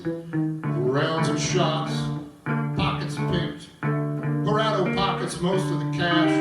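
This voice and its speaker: A man narrates a staged table read in a rather high voice. He takes his time over the stage directions. The sound is distant and heavily reverberant, with guitar prominent behind him. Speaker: narrator and singer of a self-written opera. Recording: distant, very echoey room with music.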